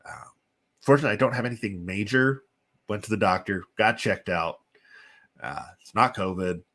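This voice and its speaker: Congested, low-voiced man calling wrestling. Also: normal speed, sharp tone. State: congested, sniffly with a chest cold. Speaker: host and commentator of a simulated wrestling show. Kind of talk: casual live play-by-play commentary with chatty asides.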